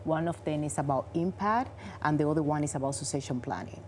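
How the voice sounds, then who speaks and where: A woman's voice: deep voice, quick pace, darkish tone, a little hiss or hum. A woman in her forties from the Dominican Republic with a Dominican Spanish accent, Spanish being her first language, answering interview questions in an earnest, explanatory way. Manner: earnest and explanatory, non-native grammar. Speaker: bank vice president, guest.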